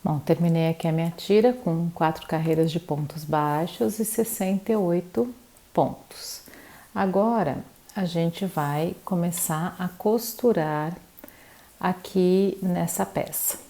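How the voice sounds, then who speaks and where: A woman's voice: on the low side, slow, warm tone. A woman teaching crochet step by step, patiently counting stitches aloud and checking in with her viewers. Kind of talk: step-by-step craft tutorial.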